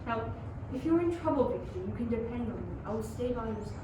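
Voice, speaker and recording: Young woman as high-voiced, earnest male friend. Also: expressive, fast, close to an everyday voice. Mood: earnest concern. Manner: earnest, questioning. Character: Henry, loyal, concerned male best friend. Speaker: amateur student performer. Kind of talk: amateur radio play acting. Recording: very echoey, distant, clear background noise.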